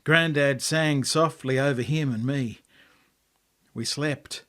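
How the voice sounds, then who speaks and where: Slow-paced Australian man telling a story. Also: mid-range voice, pushed and bright. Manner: measured, poetic phrasing with deliberate pauses. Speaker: author reading own story. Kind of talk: dramatic story narration.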